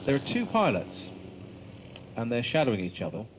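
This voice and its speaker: A man speaks off the cuff as an airshow commentator, in a casual, conversational style. His mid-pitched voice sits in clear background noise from an airfield with engines running. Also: huge pitch swings, moderate pace. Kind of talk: casual unscripted live commentary.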